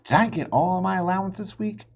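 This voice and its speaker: A man's voice: high-pitched, fairly quick, dull audio, a little hiss or hum, voice pushed up. A man voicing an eager, literal-minded young girl in a storybook, earnest and full of questions. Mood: eager, pleading.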